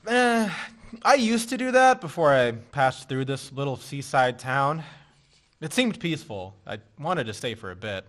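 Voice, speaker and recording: A man playing a dry-witted drifter in a radio play, with deadpan delivery. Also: mid-range voice, unhurried.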